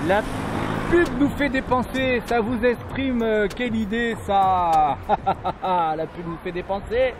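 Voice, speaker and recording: A man's voice: sits high, slow pace. A man interviewing passers-by on a city street, asking quizzical, wry questions from behind the camera. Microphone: camera mic, speaker behind it. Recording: distant voice, crowd and traffic noise.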